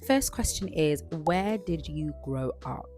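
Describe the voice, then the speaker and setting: A woman of twenty-five from Zimbabwe, chatting casually to camera as a vlogger, relaxed and chatty. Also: deep voice, slow, faint music behind. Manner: chatty and relaxed, with frequent "like" and restarts.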